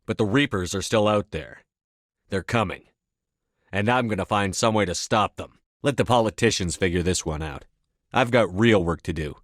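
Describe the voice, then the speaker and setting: An actor playing a resolute, no-nonsense military commander in a science fiction video game, with firm, clipped, commanding delivery. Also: male voice, on the low side, moderate pace, no echo, studio quality, lightly acted. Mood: firm, determined. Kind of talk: video game voice acting, scripted dialogue lines.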